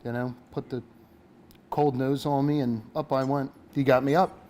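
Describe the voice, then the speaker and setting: A man with a medium-pitched voice. He talks casually and without a script, recalling things as an interviewee in a news feature. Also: flat delivery, normal speed, a little mellow. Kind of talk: casual, unscripted recollection.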